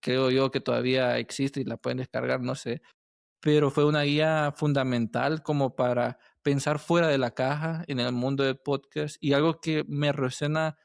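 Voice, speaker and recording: A man's voice: medium pitch, steady tone, moderate pace, vocal fry, dry recording, clean studio sound. A man hosting a conversational podcast interview, feeling his way through sentences and checking in with tag questions. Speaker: podcast host interviewing a guest.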